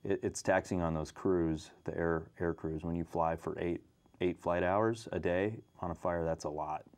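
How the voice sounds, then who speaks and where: American guy w a low voice, being interviewed about his job, informal, lots of you knows. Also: steady tone, normal speed, darkish tone. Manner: informal, frequent "you know" asides. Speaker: fire dispatch manager, interview guest. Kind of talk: unscripted interview conversation.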